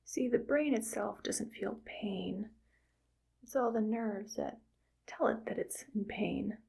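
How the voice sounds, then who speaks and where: A woman voicing a cheerfully callous female evil scientist in an ASMR roleplay, speaking in a soft close whisper and musing aloud as she works. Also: medium pitch, takes it slowly, vocal fry, breathy edge, exaggerated voice. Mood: musing, calm.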